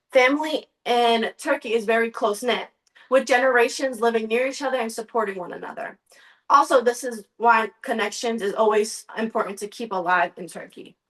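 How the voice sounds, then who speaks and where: Young female student presenter, slightly high voice, sincere, slide-based talk. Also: a bit bright, low-quality recording. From United States. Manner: earnest, occasional restarts and ums.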